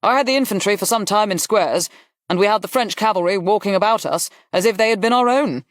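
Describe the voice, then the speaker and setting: A narrator voicing a brisk, blunt aristocratic general in an audiobook, with clipped, emphatic phrasing. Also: medium pitch, no echo, clean studio sound, pitched above the performer's own voice, natural voice. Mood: animated, emphatic. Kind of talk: audiobook character dialogue.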